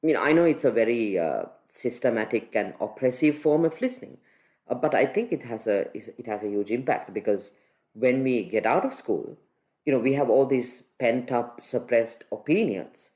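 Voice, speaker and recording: A woman's voice: rumbling low, expressive, normal speed, warm tone. A woman from Sri Lanka speaks as a podcast panelist. Her commentary is conversational and reflective, shared as personal opinion.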